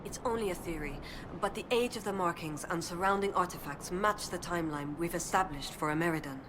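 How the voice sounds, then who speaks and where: Low-voiced, earnest young elven scholar played by an actress. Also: female voice, a little fast, background noise, lightly acted. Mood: thoughtful, explanatory. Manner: precise, scholarly, thoughtful delivery. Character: earnest young female elven scholar, determined research assistant. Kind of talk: video game voice acting.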